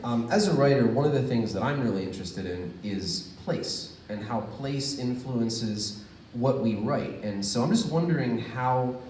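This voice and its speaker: A man poses questions at a campus reading. He is hesitant and searching, and rephrases mid-question. His voice sits at a middle pitch and carries the echo of a large room. He sounds distant from the mic, with faint ambience underneath. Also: expressive, normal speed, a little mellow, a little hiss or hum. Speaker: moderator asking questions. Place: university reading event hall.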